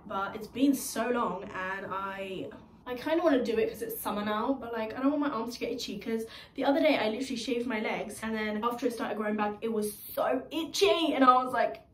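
A woman's voice: a little high, brisk, a little hiss or hum. A young woman chatting to her phone camera in a casual, rambling, self-deprecating vlog, filmed in a small kitchen and a bare room. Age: young adult. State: out of breath, blocked nose. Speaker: vlogger. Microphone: phone camera propped nearby. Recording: echoey room.

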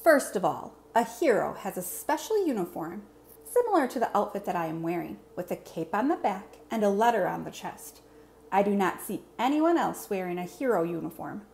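A woman's voice: medium pitch, sing-song, moderate pace, a little mellow. A woman voicing a boastful, earnest monster superhero in a storybook, with formal, over-enunciated phrasing. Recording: clear background room noise.

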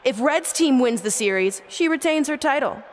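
Game cutscene general manager: imperious, emphatic, declarative, slightly high, light crowd ambience behind. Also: female voice, lively intonation, normal speed, slight background noise. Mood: commanding. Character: self-assured, imperious female wrestling show boss. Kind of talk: video game acting, arena announcement on microphone.

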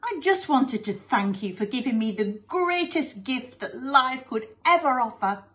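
A woman's voice: high voice, expressive, measured pace, fairly bright tone, voice pushed up. Woman putting on a pompous, soppy doting father. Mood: adoring.

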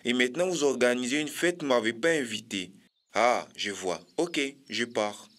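A man voicing a lonely, sensitive giraffe uncle in a children's cartoon, speaking in a plaintive, expressive way. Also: medium pitch, moderate pace, close to an everyday voice. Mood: hurt, indignant. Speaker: cartoon character. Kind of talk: animated children's cartoon acting.

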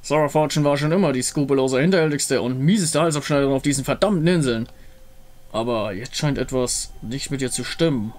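A man voicing a gruff, wry male outlaw in a game, with a blunt, dry manner. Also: mid-range voice, brisk, a little mellow, pitched below the performer's own voice, lightly acted. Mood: wary, bitter.

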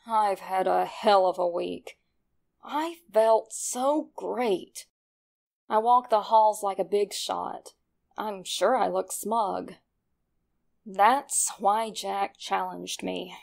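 A woman plays a cold, self-satisfied young killer in a horror audio story. The delivery is sinister and slow, with plenty of creak in the voice, as each journal entry is savored. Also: medium pitch, a little mellow. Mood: gloating, intense. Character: smug, cold young male killer writing his journal.

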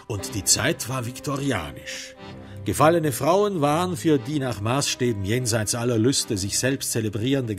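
Casting: wry, sombre crime narrator for radio drama, mid-pitched, measured and literary, music underneath. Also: male voice, a bit bright. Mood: sardonic, emphatic.

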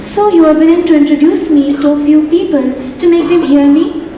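A woman's voice: sits high, steady tone, brisk, warm tone, a little hiss or hum, lightly acted. A young woman playing a gracious young female singer on a school stage, speaking in a polite, formal way. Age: young adult. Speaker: student actress. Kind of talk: stage acting in a school play. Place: large theatre stage. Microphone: far stage mic. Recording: echoey hall from far off.

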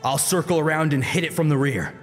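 A man's voice: on the high side, fairly quick, long reverb tail, faint music behind, voice pushed up, close to an everyday voice. A man voicing a tense, commanding young swordsman in an audiobook, urgently giving orders. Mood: tense, tactical.

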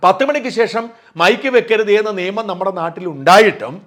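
A man's voice: high voice, lively intonation, brisk, a bit bright. A middle-aged man from Kerala hosting an online news channel, giving emphatic, argumentative opinion commentary drawn from his own experience.

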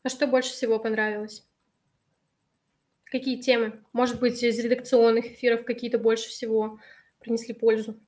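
A woman in her thirties, a little hoarse from allergies, hosting a live webinar on a video call and reading out and answering viewers' chat messages in a friendly way. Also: a little high, level tone, normal speed, darkish tone. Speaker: webinar host. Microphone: webcam on a video call.

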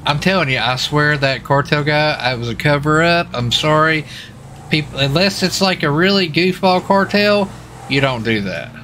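Bright-voiced rambling man, higher-pitched. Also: moderate pace, a little hiss or hum. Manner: rambling, repeats himself. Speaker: livestreamer and role-player. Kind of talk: casual chat while gaming.

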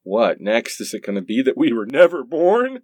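A man's voice: medium pitch, wildly swooping pitch, normal speed, darkish tone, soft background music. A man playing a goofy, reluctant holiday hero in a comic radio play. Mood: bewildered.

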